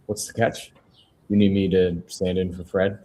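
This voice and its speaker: A man reads a lonely, worn-down proofreader in a film drama table read. His voice is deep, and he keeps the delivery low-key and conversational, with a wry, questioning edge. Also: little pitch movement, normal speed, mellow. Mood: curious, wry. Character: Cassidy, weary, lonely male newspaper proofreader, wryly curious.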